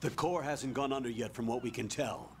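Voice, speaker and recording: Brief: actor as a stern game commander barking curt orders. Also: male voice, mid-range voice, fairly quick, a little hiss or hum, lightly acted. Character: stern male military commander on radio.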